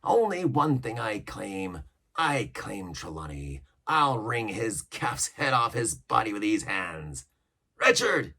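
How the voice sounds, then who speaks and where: Brief: a man playing a cunning older cook, gravelly growl, lively swings in pitch. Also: takes it slowly, exaggerated voice. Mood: menacing. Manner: growling, theatrical, shifting between bluster and whispers. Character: scheming one-legged pirate cook, older man, cunning.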